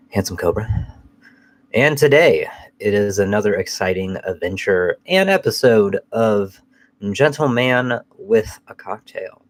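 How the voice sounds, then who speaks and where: A man's voice: fairly low pitch, rough recording. A man hosting a casual live chat while fighting a cold, sounding nasal, rambling loosely and swearing now and then. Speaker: host.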